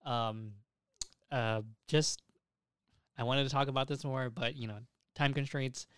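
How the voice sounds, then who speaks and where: Podcast host, young male, clean dry sound, clear voice, excitable and profane, informal delivery. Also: mid-range voice, measured pace, no echo. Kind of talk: casual podcast chat.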